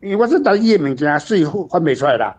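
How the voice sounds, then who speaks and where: A man's voice: a little high, big pitch swings, fast. An older man with a Taiwanese accent speaks off the cuff as a guest author, rambling in a plain, emphatic way and repeating himself. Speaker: author guest answering questions. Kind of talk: unscripted literary discussion.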